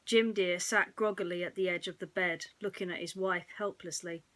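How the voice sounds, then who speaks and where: A woman narrating a bedtime story in a soothing, hushed manner that often drops close to a whisper. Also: lowish voice, steady tone, moderate pace.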